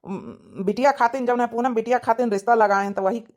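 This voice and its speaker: A woman's voice: on the high side, normal speed, a little mellow, close to an everyday voice. A woman voicing a chatty middle-aged village matchmaker uncle in a cartoon comedy, rambling persuasively with an Awadhi accent.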